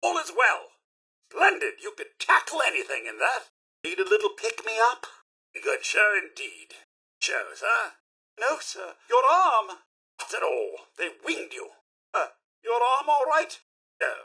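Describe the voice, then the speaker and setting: An actor playing a fussy, chipper robot butler in a video game, with a British accent and a polite, pompous, theatrical manner. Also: male voice, high-pitched, wildly swooping pitch, a little slow, bright, forceful tone, breathy edge, full character voice. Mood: chipper, courteous, then fussy, concerned. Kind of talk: video game character voice lines.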